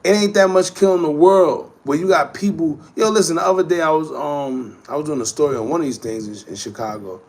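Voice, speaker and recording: Gravelly-voiced man in his thirties. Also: a little high, brisk, hardly any pauses. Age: 30s. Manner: slangy, rambling, conversational street talk. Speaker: host. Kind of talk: casual livestream chat, reading viewer comments.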